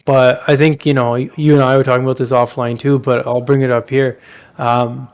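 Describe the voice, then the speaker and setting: A man's voice: medium pitch, flat delivery, moderate pace, clear, resonant tone. A man co-hosting a podcast, talking politics casually and emphatically with plenty of slang. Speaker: podcast co-host.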